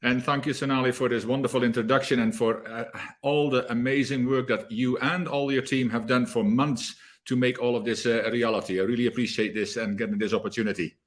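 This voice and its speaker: An energetic man speaking as a leadership coach in an online talk, often repeating words as he gathers his thoughts. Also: mid-range voice, fairly quick. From South Africa.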